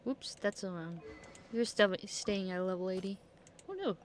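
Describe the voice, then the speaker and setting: A woman's voice: medium pitch, expressive, normal speed, a little hiss or hum. A woman giving casual gameplay commentary as a streamer, talking to herself playfully and swearing casually. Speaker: game streamer.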